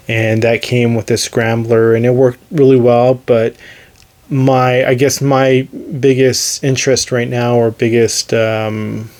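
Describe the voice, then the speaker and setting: American male hobbyist vlogger, medium pitch, narrow intonation, loose rambling delivery, unscripted and hesitant. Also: a little mellow. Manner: rambling, hesitant, thinking aloud. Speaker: radio hobbyist video host. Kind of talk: casual unscripted gadget review vlog.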